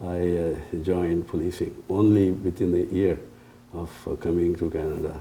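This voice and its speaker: An elderly man from India, a native Urdu speaker, recounting his life in a halting, meandering way as an interview guest. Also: sits low, steady tone, mellow, a little hiss or hum. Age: 70s or older. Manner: halting, meandering storytelling with hesitant pauses. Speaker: interview guest, retired police officer. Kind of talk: casual reminiscing interview.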